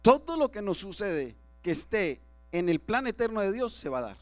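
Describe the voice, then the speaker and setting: Man, pastor, Spanish sermon, high voice, dry sound, asks for agreement. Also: very animated, normal speed, no echo. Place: church.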